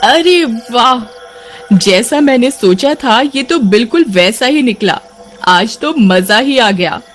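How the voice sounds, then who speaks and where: A high-pitched young village wife, played by a woman, is playful and headstrong. She pleads and exclaims in a sing-song way, as in an animated ghost story. Music runs behind her. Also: slow. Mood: delighted. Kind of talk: animated Hindi horror story character acting.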